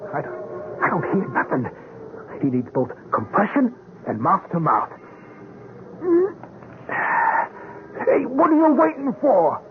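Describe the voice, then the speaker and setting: An actor playing a brash, chatty working-class American cab driver, colloquial and excitable, in a radio drama. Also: male voice, high voice, slow pace, pauses often, heavily acted. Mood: urgent. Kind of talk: 1970s radio drama acting. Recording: tinny old radio broadcast, faint noise.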